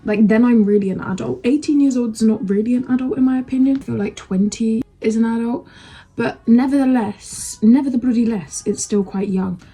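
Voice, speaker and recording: A woman's voice: fairly high pitch, brisk, warm tone, slightly rough, low-quality recording. A nineteen-year-old woman with a British accent, chatting candidly to camera in a rambling, frank way with casual swearing. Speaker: vlogger.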